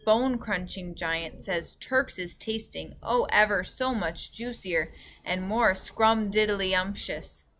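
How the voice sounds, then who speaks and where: A woman's voice: mid-range voice, slow, fairly bright tone. A woman voicing a huge, boastful man-eating giant from a children's storybook, with emphatic, ungrammatical speech. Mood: relishing. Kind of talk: children's storybook read-aloud.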